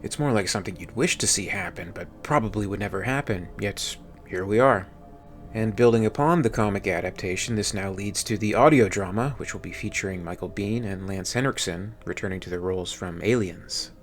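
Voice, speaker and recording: A man's voice: fairly low pitch, a little fast, soft background music. A man hosting a video channel, delivering scripted commentary.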